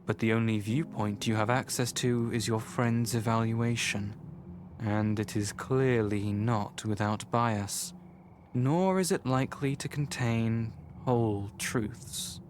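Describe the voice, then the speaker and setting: A man playing a solemn game narrator, reading scripted story narration in a measured, formal manner. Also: medium pitch, slight background noise, lightly acted. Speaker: narrator of a visual novel. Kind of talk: scripted narration, second person.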